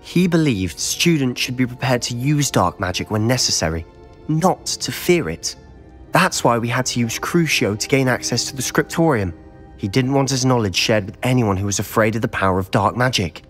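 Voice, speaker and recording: A man's voice: mid-range voice, expressive, normal speed, natural voice. Man playing a determined British schoolboy wizard, music behind. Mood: secretive, earnest. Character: determined, secretive teenage schoolboy wizard, defiant. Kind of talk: video game cutscene acting.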